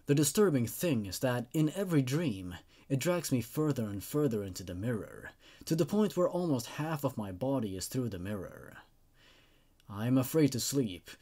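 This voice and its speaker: A man voicing a haunted, fearful narrator of a first-person horror story, speaking gravely and hushed at the ends of phrases. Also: medium pitch.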